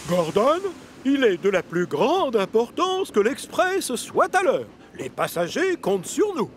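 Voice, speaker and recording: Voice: medium pitch, wildly swooping pitch, unhurried, noisy background. An actor playing a stout, stern but fair railway controller who speaks firmly and with authority, in a French cartoon dub. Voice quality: firm and authoritative. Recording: cartoon soundtrack with music.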